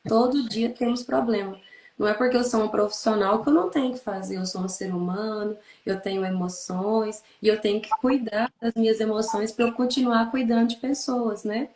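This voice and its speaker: Brazilian female, 30s, therapist-host. Slightly high pitch, didactic, repeats for emphasis, roomy echo. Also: fairly even intonation, fairly quick, a little mellow. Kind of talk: explanatory podcast conversation. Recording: echoey, roomy sound.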